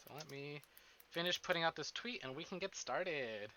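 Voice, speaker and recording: A man chatting casually to livestream viewers in an animated, self-interrupting, playfully profane way, as host of a game stream. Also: fairly high pitch, normal speed, slight background noise. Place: indoors at a desk.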